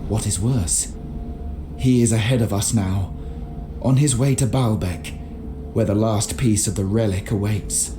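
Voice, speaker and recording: An actor playing a solemn medieval chronicler narrating a game's campaign journal in a grave, measured way. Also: male voice, fairly low pitch, lightly acted. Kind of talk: scripted dramatic game narration. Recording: music behind narration.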